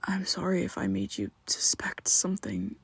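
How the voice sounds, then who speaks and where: A man voicing a young vampire who is his listener's best friend, speaking in a soft, breathy half-whisper with hesitant restarts, in an ASMR roleplay. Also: high-pitched, big pitch swings, normal speed. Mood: pleading, defensive. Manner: hesitant, stumbling restarts, pleading.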